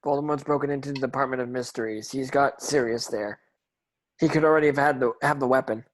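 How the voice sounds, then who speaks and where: Someone female doing a teen boy wizard, really low voice, terse and urgent, amateur table read. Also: steady tone, fast talker.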